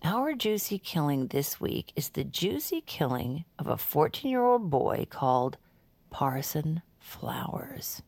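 An actress playing a chatty, understated true crime podcast co-host in scripted audio fiction styled as casual talk. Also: female voice, low voice, sing-song, takes it slowly, a little mellow, dry recording, heavily acted. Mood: earnest, wry.

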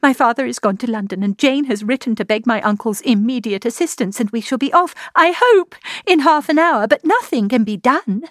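A woman voicing an agitated, breathless young gentlewoman in anguish, in a storybook reading. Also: a little high, fast, few pauses, no room sound, studio quality, pitched above the performer's own voice, natural voice. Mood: agitated, despairing. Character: distraught young gentlewoman, anguished and self-reproaching.

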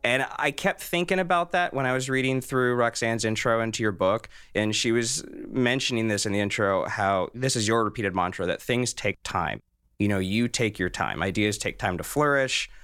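Male podcast host, early 30s, lowish voice, conversational interview delivery, very dry sound. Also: normal speed, a bit bright, smooth, dry recording. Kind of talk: conversational interviewing and show narration.

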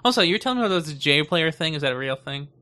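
Bright-voiced young man chatting on a podcast. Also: a little high, expressive, very fast, clean tone. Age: young adult. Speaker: podcast host. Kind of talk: casual tech podcast chat.

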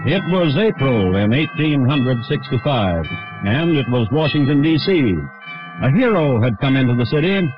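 An actor playing a solemn crime connoisseur, narrating as radio host with measured, dramatic phrasing in a vintage style. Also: male voice, mid-range voice, animated, music in the background, natural voice. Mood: grave, storytelling. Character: wry, solemn male crime connoisseur hosting true murder tales. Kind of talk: 1950s radio scripted narration. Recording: muffled vintage radio recording.

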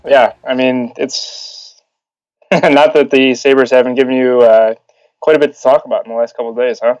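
A man co-hosting a casual sports podcast, talking in a relaxed way with some fillers. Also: medium pitch, moderate pace, dry recording, dull audio. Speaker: podcast co-host.

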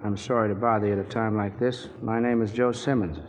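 Guy playing polite persistent visitor, lowish casual voice, bit hesitant, old radio drama. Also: fairly even intonation, fairly quick, close to an everyday voice. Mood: polite, tentative. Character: persistent, easygoing, polite man calling on business. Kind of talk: 1940s radio drama acting.

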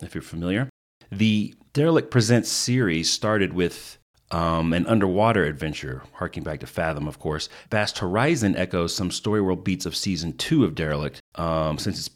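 Very low-voiced man hosting a podcast. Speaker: podcast host. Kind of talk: casual podcast introduction.

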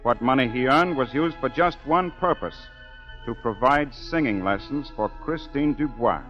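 An actor playing a solemn storyteller who narrates deliberately in the scripted style of 1940s radio drama. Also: male voice, medium pitch, close to an everyday voice. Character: smooth, solemn male storyteller recalling a tragic tale. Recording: dull old 1940s radio broadcast. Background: music.

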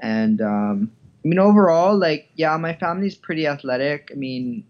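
A man from Hawaii chatting casually as an interview guest, speaking in a hesitant, halting way. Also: a little high, expressive, highs cut off. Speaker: podcast guest, professional golfer.

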